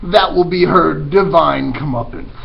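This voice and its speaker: A man voicing a suave, scheming villain rat from a storybook, with sly, pompous, drawn-out enunciation. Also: on the high side, vocal fry, voice pushed up. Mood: smooth, sly. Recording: muffled recording with background noise.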